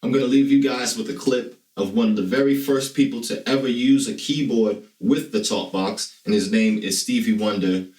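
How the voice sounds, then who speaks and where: A man's voice: medium pitch, moderate pace, few pauses, darkish tone. A man hosting an instructional demonstration, explaining things informally and often checking in with the listener. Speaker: host of a talk box tutorial series.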